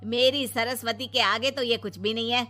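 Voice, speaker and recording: A woman's voice: a little high, fairly even intonation, normal speed, over music, close to an everyday voice. A woman voicing a grumbling, blunt older mother-in-law who scolds sarcastically in a family story. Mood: indignant.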